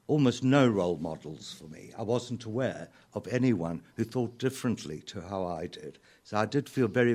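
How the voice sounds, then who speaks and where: South African man, mature, low-pitched, thoughtful, halting delivery, radio interview guest. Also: darkish tone. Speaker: interview guest, author and lyricist. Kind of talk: reflective radio interview talk.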